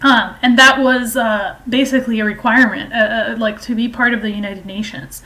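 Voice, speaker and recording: A woman giving informal political and historical commentary in a halting, hesitant way, explaining at length. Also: a little high, steady tone, pushed and bright.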